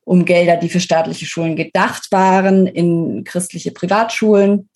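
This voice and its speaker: A woman's voice: lowish voice, little pitch movement, normal speed, darkish tone. Woman explaining in an interview. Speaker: interview guest, book author. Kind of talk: explanatory interview talk on a podcast.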